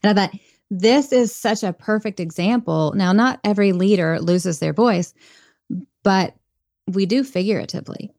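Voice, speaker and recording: A woman's voice: mid-range voice, normal speed, a little mellow, dry recording, clean studio sound. A woman guest on a podcast interview, talking earnestly and reflectively about faith and leadership. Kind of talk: conversational interview.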